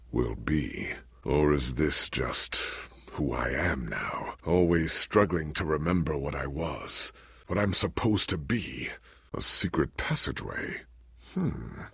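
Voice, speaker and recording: An actor playing a proud but anxious, forgetful legendary warrior in a video game, with an earnest, formal, theatrical delivery. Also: male voice, extremely low pitch, gravelly, fairly bright tone, breathy edge, dry recording, clean studio sound, exaggerated voice. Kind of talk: dramatic video game voice acting.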